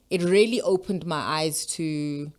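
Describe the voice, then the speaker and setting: A young woman from South Africa, a leadership coach, telling her story as a guest in a relaxed, reflective way. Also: low voice. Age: young adult. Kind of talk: interview storytelling.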